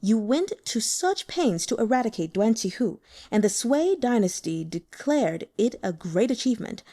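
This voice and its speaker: A woman plays a persuasive older man, an elder of a secret sect, coaxing and smooth. His lines are mellow, and the pitch moves up and down with animation. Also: big pitch swings, normal speed.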